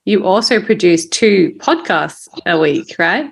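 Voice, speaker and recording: A woman's voice: lowish voice, animated, unhurried, gravelly, breathy edge. A woman hosting a podcast and interviewing her guest in a conversational way.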